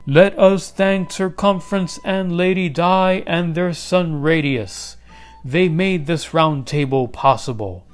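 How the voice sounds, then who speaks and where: A man voicing a noble, kindly king from a storybook, proclaiming in a deliberate, stately manner. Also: a little high, smooth, soft background music, pitched above the performer's own voice. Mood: proud, announcing.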